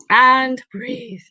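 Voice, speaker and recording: Bright-voiced chatty British woman host. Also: high voice, creaky, breathy edge, dull audio. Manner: chatty, rambling, with quick asides. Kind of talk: casual chat. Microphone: video call.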